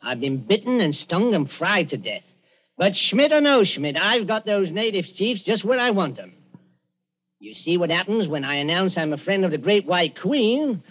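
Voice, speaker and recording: A man's voice: sits high, big pitch swings, normal speed, husky, exaggerated voice. An actor with a London accent playing a brash magician posing as a witch doctor, full of breezy theatrical patter in a radio drama. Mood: brisk, cocky. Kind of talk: old-time radio drama acting. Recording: muffled lo-fi old radio recording.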